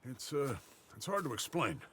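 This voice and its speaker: Animated, bright-voiced older rancher. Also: male voice, medium pitch, moderate pace, slightly rough. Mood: uneasy, friendly. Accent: American. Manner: hesitant, stammering, genteel. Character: anxious but resolute older ranch owner. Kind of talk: dramatic video game acting.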